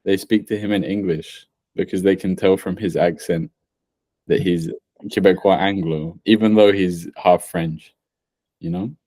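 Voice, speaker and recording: A man with a low voice hosting a casual podcast. He works through his thoughts steadily, leaning on examples and pausing to restart. The sound is dry and close. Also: fairly even intonation, mellow, dry recording. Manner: measured, builds points through examples with pauses and restarts. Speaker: podcast host.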